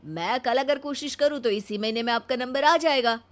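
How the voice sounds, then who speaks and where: A woman's voice: high voice, moderate pace, close to an everyday voice. A woman voicing a boastful male fixer with connections, casual and offhand, in a story.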